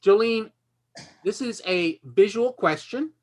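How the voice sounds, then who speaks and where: A man's voice: sits high, expressive, slow pace. A man hosting a quiz game show, reading out questions and bantering playfully with the players.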